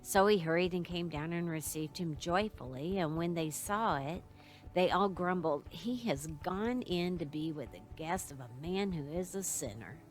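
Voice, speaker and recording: A woman reading a Bible passage aloud in a steady, measured way during a church service. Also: fairly low pitch, soft background music. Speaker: scripture reader. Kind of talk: scripture reading.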